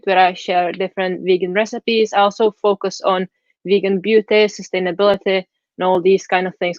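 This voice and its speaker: A young woman with a Czech accent answers questions over a video call, speaking in a relaxed, frank way. Her voice sits fairly low, and the audio sounds dull and lo-fi through earbuds. The sound is dry and close. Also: no room sound. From Czech Republic. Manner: relaxed, frank, slightly non-native grammar. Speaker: guest blogger. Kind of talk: casual interview answers.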